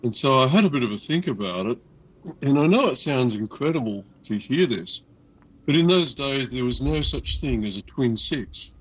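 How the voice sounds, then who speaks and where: A man's voice: mid-range voice, expressive, moderate pace, slightly rough, highs cut off. An older man telling stories as an interview guest, reminiscing in a casual conversational way. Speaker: interview guest, loudspeaker designer.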